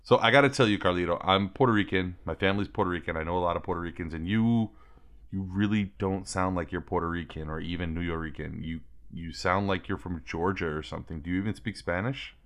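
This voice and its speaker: Deep-voiced male podcast co-host. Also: fast, a bit bright, faint music behind. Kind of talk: mock news interview and casual chat.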